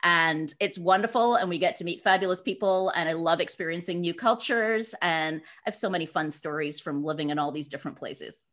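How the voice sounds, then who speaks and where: A woman's voice: on the low side, a little fast, hardly any pauses, fairly bright tone. A woman from Scotland speaking as a guest author in an interview, telling personal stories in an upbeat, effusive, emphatic way. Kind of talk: interview answers, personal storytelling.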